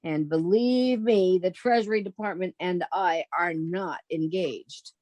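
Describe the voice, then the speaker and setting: A woman's voice: fairly low pitch, measured pace, darkish tone, no echo, natural voice. A woman playing a sensible young single woman, wisecracking and fondly exasperated, in 1940s radio comedy style. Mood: excited, wry.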